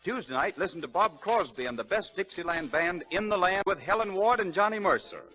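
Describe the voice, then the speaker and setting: American male 1930s radio pitchman, slightly high voice. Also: expressive, a little fast, sharp tone. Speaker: radio announcer reading sponsor ads. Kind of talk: 1930s scripted radio commercial. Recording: noisy 1930s radio broadcast.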